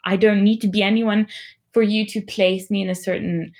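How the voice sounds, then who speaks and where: A young woman giving a reflective personal account in a thoughtful, measured and candid way. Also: mid-range voice, steady tone, darkish tone.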